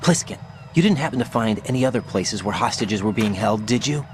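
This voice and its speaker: An actor playing an earnest young special agent in a video game, probing and idealistic. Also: male voice, on the low side, expressive, a little fast, noisy background, natural voice. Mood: focused. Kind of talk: video game cutscene acting.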